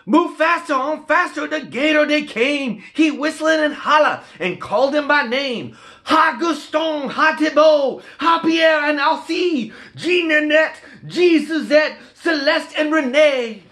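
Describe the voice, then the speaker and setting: A man voicing an excitable, folksy Cajun storyteller, reciting a rhymed Christmas storybook in an exuberant singsong dialect. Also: very high-pitched, unhurried, pitched above the performer's own voice, exaggerated voice. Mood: rousing, shouting. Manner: exuberant, declamatory, singsong rhyming delivery.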